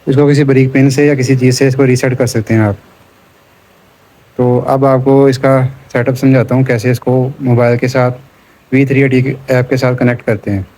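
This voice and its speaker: A man's voice: medium pitch, little pitch movement, moderate pace, dark tone, low-quality recording. A man giving an informal hands-on tutorial as an installer, explaining step by step and repeating his instructions.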